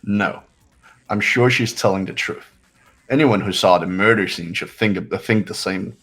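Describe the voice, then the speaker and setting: Man as a deep-voiced arrogant young heir, cold and dismissive. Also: moderate pace, fairly bright tone, breathy edge, heavily acted. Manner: cold, condescending. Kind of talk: fan voice acting of a game.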